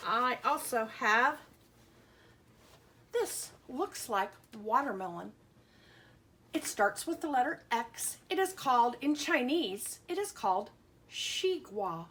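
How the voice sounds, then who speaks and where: A woman's voice: fairly high pitch, very animated, slow, long pauses, fairly bright tone, slight background noise. A woman teaching young children, reading aloud and explaining simply, with questions and carefully sounded-out words. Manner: sounds out letters and words with emphasis. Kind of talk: children's lesson and picture-book read-aloud.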